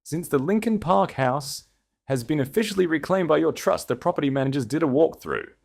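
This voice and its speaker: A man's voice: a little high, expressive, creaky, darkish tone, pitched above the performer's own voice. Aristocratic male consort for storytelling, man acting: devoted, composed, conversational delivery with very varied intonation. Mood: professional. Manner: measured, conversational.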